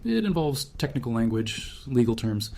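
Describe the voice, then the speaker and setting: A man's voice: medium pitch, big pitch swings, moderate pace, vocal fry, darkish tone, slight background noise. Man playing a tired, frustrated executive, urgent and distracted, slightly raised voice. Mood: cautious. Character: stressed, exhausted male company executive. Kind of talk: storybook character voice.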